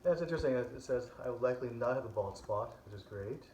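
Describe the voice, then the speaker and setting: A man's voice: mid-range voice, lively intonation, fast, darkish tone, reverberant, a little hiss or hum. A man co-hosting a casual chat, easygoing, reading items aloud with some uhs. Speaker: co-host.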